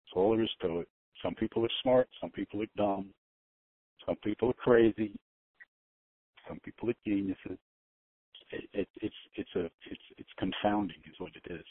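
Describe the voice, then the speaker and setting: An older man speaks over a narrow, tinny phone connection with very little room sound. His voice sits on the low side. He rambles in a halting way, often doubling back on his words. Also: very animated, no echo. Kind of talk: rambling conference-call talk. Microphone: phone conference call.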